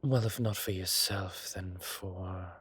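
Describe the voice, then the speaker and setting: A man playing an ancient, teasing godlike being with a soft romantic streak, in a theatrical, drawling and indulgent manner for a roleplay audio drama. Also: low-pitched, fairly even intonation, takes it slowly, breathy edge, exaggerated voice. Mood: musing, tender.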